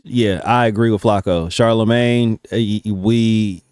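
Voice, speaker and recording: Male reaction host, lowish dry voice, casual slang, salty language, loose and halting phrasing. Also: fairly even intonation, darkish tone, clean tone, dry recording. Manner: hesitant starts, slangy, profane.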